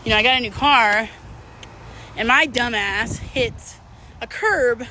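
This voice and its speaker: A woman's voice: a little high, takes it slowly, pushed and bright, slight background noise, low-quality recording. A middle-aged woman talking casually and unscripted to her viewers, candid and slangy, rambling and drifting mid-thought. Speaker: vlog host.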